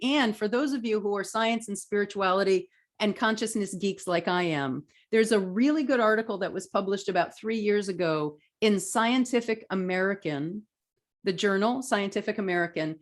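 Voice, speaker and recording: A middle-aged woman teaching a live online class, lecturing earnestly and emphatically, then reading viewer comments in a softer voice. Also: medium pitch, normal speed. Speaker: spiritual teacher running a live online training.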